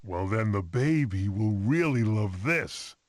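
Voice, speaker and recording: An actor voicing an expressive, wisecracking mammoth father-to-be in an animated family game. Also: lowish voice, slow pace, dry recording, clean studio sound. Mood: earnest, wry.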